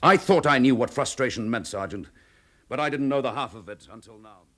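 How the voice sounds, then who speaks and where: An actor playing a dogged, frustrated police chief inspector in a radio drama, with a brisk, probing, forceful manner. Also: male voice, mid-range voice, close to an everyday voice. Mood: weary, businesslike.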